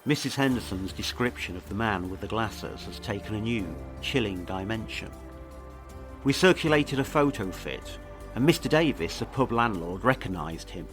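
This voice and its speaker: A man's voice: on the low side, expressive, normal speed, a bit bright. A man presenting a scripted investigative mystery podcast, narrating in a serious, ominous manner. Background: faint music.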